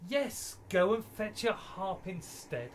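High-voiced haughty, mocking Byron played by an actor. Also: male voice, sing-song, takes it slowly, a little hiss or hum, heavily acted. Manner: pompous, taunting. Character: Lord Byron, haughty, mocking aristocratic male poet. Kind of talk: radio play acting.

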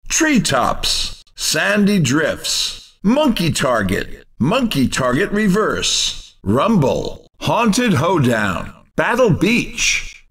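High-pitched, upbeat game announcer. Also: male voice, bright, forceful tone, distant mic, exaggerated voice. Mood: bold announcing. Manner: punchy, showy, cheerful delivery. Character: upbeat, excitable male racing game announcer and shopkeeper. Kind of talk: video game announcing, race commentary and shop greetings.

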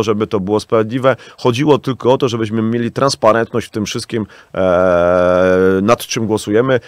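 A man in his thirties argues his case as a radio interview guest, leaning on hesitant fillers and polite, formal address to the host. His voice sits fairly low. The studio sound is close and dry, with no room echo. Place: small radio studio with acoustic panels. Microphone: studio microphone on arm.

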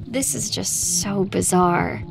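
A woman's voice: mid-range voice, slow pace, gravelly, music in the background. A woman playing a friendly, bewildered young woman in an audio drama, casual and concerned. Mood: puzzled, friendly.

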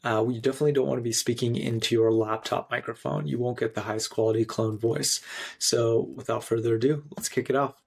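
A man in his thirties narrating a screencast tutorial in an instructional, clearly phrased way. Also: medium pitch, steady tone, brisk. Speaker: software tutorial presenter.

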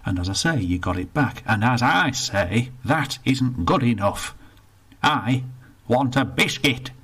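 A man's voice: mid-range voice, expressive, unhurried, fairly bright tone, slightly rough, voice pushed up, full character voice. A man doing a cantankerous old man. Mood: indignant. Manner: blustering, indignant, blunt. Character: cantankerous older northern man, stubborn and belligerent.

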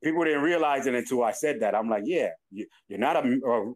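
Man, twenties, New York accent, podcast co-host, casual storytelling, mid-pitched voice. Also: a little fast. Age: 20s. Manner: storytelling, quoting people with animated laughs. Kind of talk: casual chat.

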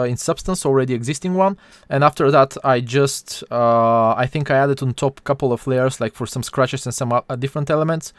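A man with a Bulgarian accent hosts an informal live art lesson. His voice is mid-pitched and smooth, recorded very dry. He speaks haltingly, pausing often as he searches for words. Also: no room sound. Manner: halting, hesitant delivery with frequent pauses. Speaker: 3D artist hosting a stream.

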